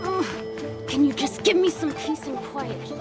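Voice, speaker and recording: A woman's voice: high voice, unhurried. An actress playing an earnest, frank, easily flustered young boy swordsman in a video game. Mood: exasperated. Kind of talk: English video game dub acting. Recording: music behind the voice.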